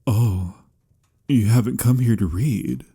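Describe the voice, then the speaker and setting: Guy doing a very dark husky vampire lover, soft and breathy, courtly and sad, old-timey formal talk, romantic asmr roleplay. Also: on the low side, exaggerated voice. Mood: gently formal. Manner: formal, old-fashioned, measured diction. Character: melancholy, courtly male vampire lover, patient but pained.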